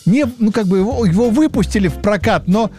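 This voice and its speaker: A man hosting a radio quiz, reading questions briskly and chatting with ironic asides. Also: high voice, expressive, darkish tone, faint music behind.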